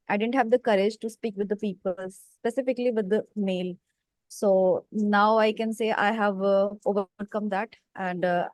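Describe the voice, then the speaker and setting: A woman's voice: medium pitch, a little mellow, no room sound, low-quality recording. Woman in her thirties, thoughtful host, dry close sound, leans on "you know". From India. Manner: reflective, many "you know" fillers. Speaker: host, English teacher. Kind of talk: casual conversation practice.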